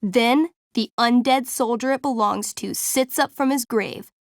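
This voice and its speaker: A woman's voice: fairly high pitch, no echo, clean studio sound. A woman voicing a young game master in a video game, narrating a fantasy tabletop adventure with playful drama and suspense. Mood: suspenseful, dramatic. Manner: theatrical, suspenseful, deliberate storytelling.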